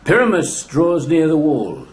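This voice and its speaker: A man's voice: fairly high pitch, wildly swooping pitch, a little slow. A man playing a wry, indulgent noble onlooker in an amateur Shakespeare radio play. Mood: wry commenting.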